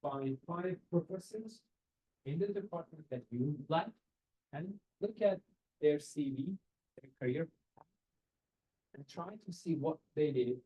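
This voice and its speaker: A man's voice: a little high, measured pace, pauses often, breathy edge, lo-fi sound. A man, giving earnest career advice to students in a seminar over a video call. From Iran. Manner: earnest, direct, rhetorical questions, non-native phrasing. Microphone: webcam on a video call.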